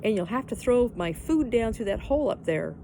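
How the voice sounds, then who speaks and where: A woman voicing a bold, carefree, mischievous young girl with loud, playful declarations in a storybook reading. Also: on the high side, lively intonation, brisk, slight background noise, pitched above the performer's own voice, poor audio.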